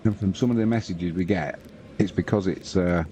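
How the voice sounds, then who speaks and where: A British man in his fifties talks through football scores on a live stream, slow and chatty in manner. His voice sits deep and warm. A faint hiss of background noise stays under him throughout. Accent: British English. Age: 50s. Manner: slow, unhurried, chatty, reads scores aloud. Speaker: football commentator and host. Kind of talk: relaxed live-stream football commentary and chat.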